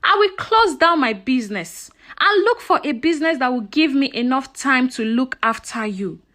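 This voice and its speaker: Lady doing a protective storybook mom, high voice, really emotional and emphatic, pitch all over. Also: lively intonation, normal speed, a bit bright. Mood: remorseful, tender. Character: heartbroken, indignant mother, protective of her young daughter. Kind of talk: folktale storytelling character dialogue.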